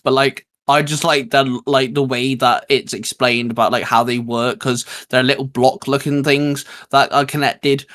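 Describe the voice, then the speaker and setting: A man's voice: medium pitch, steady tone, moderate pace, few pauses, a bit bright. A man hosting a casual geek chat, rambling along enthusiastically. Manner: rambling, enthusiastic, repeats filler phrases. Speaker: show host.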